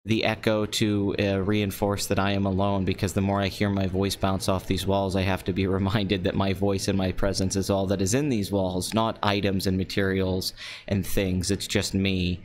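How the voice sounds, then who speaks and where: Flat-voiced, deadpan young man. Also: moderate pace. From Pennsylvania. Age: 20s. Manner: talking low and calm. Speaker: live-stream host. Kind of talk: casual solo live-stream talk. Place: nearly empty apartment. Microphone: desk microphone.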